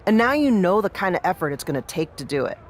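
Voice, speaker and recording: Game adviser, encouraging and crafty: high register, slight background noise. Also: male voice, big pitch swings, fast. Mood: earnest. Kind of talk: scripted video game cutscene acting.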